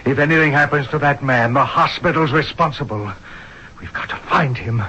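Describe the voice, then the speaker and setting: A man's voice: medium pitch, moderate pace, bright, forceful tone, slight background noise, lightly acted. An actor playing a grave, authoritative male hospital doctor in a 1970s radio drama, speaking emphatically. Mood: urgent, indignant. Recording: muffled vintage radio broadcast.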